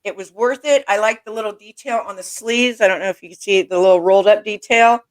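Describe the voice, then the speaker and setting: A woman's voice: mid-range voice, quick pace. A woman hosting a casual interview, chatty and enthusiastic, often repeating and restarting her points. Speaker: interview host. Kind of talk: casual video call interview.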